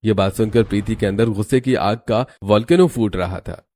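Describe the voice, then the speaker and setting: A man narrates a cartoon family story from a script. His voice sits fairly low. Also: normal speed, dark tone.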